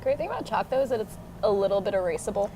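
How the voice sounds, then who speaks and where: A woman's voice: mid-range voice, animated, rapid-fire. A woman co-hosting a craft show, chatting casually around a table indoors. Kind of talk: casual chat. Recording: noisy room with table sounds.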